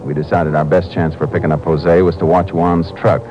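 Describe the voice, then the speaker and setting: An actor playing a calm, firm Texas Ranger with a laconic, matter-of-fact manner in a 1950s radio drama. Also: male voice, deep voice, normal speed, lo-fi sound, lightly acted. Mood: measured. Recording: noisy vintage radio broadcast.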